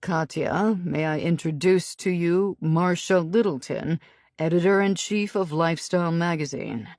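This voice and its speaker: A woman's voice: on the low side, unhurried, a little mellow, no room sound, studio quality. A woman narrator voicing a cool, controlled male billionaire with a chilly, composed voice. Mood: cool, smooth.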